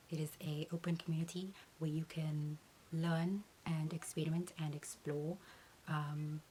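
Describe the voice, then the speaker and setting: A young woman with a low, steady voice speaks as a beauty creator answering viewer questions. She talks in an earnest, measured way, pausing with an occasional "um". A faint hiss sits under the recording. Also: rough recording. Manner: earnest, measured, uses "um" between points.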